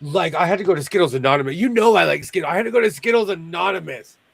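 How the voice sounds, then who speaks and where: A man's voice: high-pitched, expressive, very fast, gravelly, breathy edge, dry recording. A man hosting a sewing show, chatting casually and jokily while he works, often trailing off mid-sentence.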